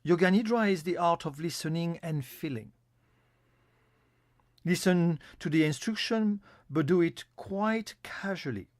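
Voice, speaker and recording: A man giving a guided yoga nidra meditation as an instructor, in a calm, soothing, instructional manner. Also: a little high, measured pace, no echo, studio quality.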